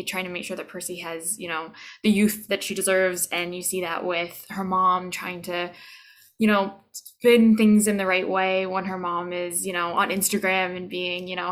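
A woman's voice: fairly low pitch, a bit bright. A woman in her twenties with a Canadian accent, talking casually and reflectively as an interviewed voice actor, sometimes searching for words. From Toronto, Canada. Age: 20s.

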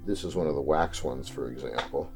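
A man's voice: a bass voice, brisk, faint music behind. A man, a dentist and collector, talking unhurriedly at home about his old machines, with hesitant fillers. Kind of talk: casual explaining.

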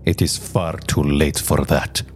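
A man playing an imperious, angry pagan Roman governor in a religious audio drama, speaking in a theatrical, deliberate way. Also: extremely low pitch, flat delivery, soft background music. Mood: coldly resolute.

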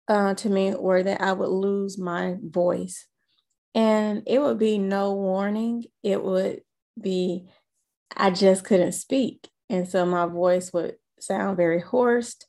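A woman in her thirties slowly recounting a personal experience, halting often with fillers. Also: mid-range voice, gravelly, dark tone. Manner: slow, halting storytelling with many fillers. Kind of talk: personal testimony.

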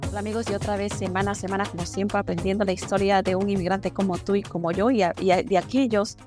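A woman's voice: mid-range voice, fairly even intonation, fast, music in the background. A woman from Peru hosting a podcast interview, chatty and welcoming as she introduces her guest and asks questions. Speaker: podcast host.

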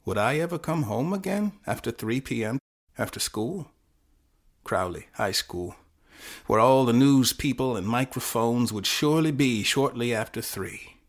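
A man playing a confused, fatalistic teenage boy who narrates his own short story in halting, self-correcting fragments. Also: on the low side. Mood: resigned, anxious. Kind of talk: first-person dramatic short-story performance.

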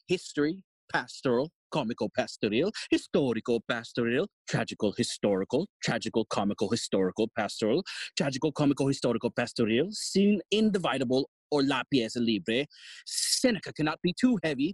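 A man playing a pompous, long-winded old royal counsellor with a husky voice and declamatory delivery in a Shakespearean audio play. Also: mid-range voice, dry recording. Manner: pompous, declamatory list-making.

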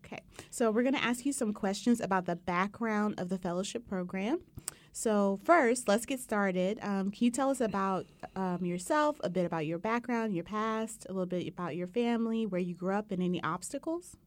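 A woman's voice: mid-range voice, normal speed. A woman conducting an oral history interview, introducing it and asking questions.

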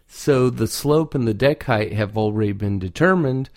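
A man's voice: mid-range voice, moderate pace, darkish tone, no room sound, studio quality. A man giving informal, off-the-cuff instruction as a hands-on craftsman, often repeating words for emphasis. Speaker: duck call maker giving advice.

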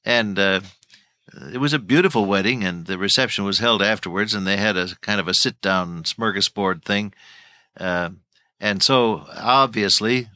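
A man's voice: lowish voice, bright, forceful tone, no room sound, clean studio sound. An older man teaching the Bible on radio, telling folksy stories and chuckling as he goes.

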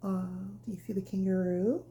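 A woman reading a picture book aloud as a bedtime storyteller, speaking in a nurturing, simple way as if to a small child, with a breathy voice that often drops toward a whisper. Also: mid-range voice, fairly even intonation, fairly quick, warm tone.

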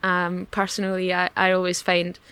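A woman's voice: on the low side, monotone, normal speed, a bit bright, smooth, dry recording. A woman whose first language is German, hosting a podcast interview in a conversational, appreciative way. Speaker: podcast host.

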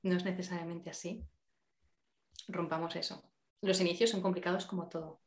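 A woman's voice: fairly low pitch, steady tone, a little fast, roomy sound. A woman from Spain, a podcast guest speaking in an earnest, encouraging way. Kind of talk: casual podcast interview.

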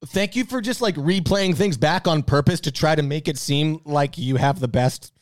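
An American man co-hosting a podcast in casual comedic banter, excitable and teasing, often repeating himself as he builds up a point. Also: fairly high pitch, normal speed. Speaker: podcast co-host.

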